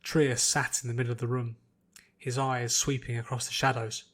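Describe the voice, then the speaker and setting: A man's voice: mid-range voice, flat delivery, normal speed, a bit bright. Male narrator reading fantasy fiction. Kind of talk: scripted audiobook narration.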